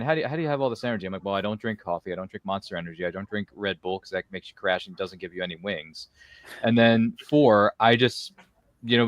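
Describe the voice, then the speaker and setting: Fast-talking energetic male podcast host. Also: on the low side, dry recording. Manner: effusive, energetic, stammering repeats, slangy. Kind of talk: casual livestream roundtable chat.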